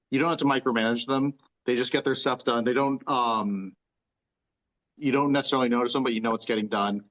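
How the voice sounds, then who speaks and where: Man, game player, halting casual explanation, pauses mid-thought, medium pitch, somewhat dull audio. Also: a bit bright. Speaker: role-playing game player.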